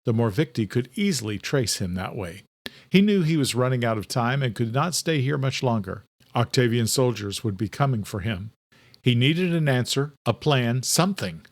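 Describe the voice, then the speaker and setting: A man giving a steady, scripted narration of a horror audiobook. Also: mid-range voice, moderate pace, no room sound.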